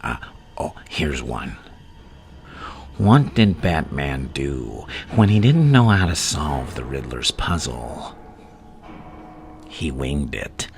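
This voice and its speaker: Crazy evil clown villain, gravelly half whisper asmr, super slow and taunting, swings up and down a lot, some background noise. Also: male voice, extremely low pitch, very animated, heavily acted. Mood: morbidly amused. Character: menacing, gleefully insane adult male supervillain clown.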